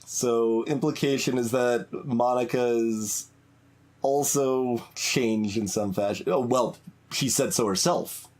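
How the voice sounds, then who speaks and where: Male let's play streamer, mid-range voice, casual and halting, musing aloud. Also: medium pitch, a little mellow. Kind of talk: casual live game commentary.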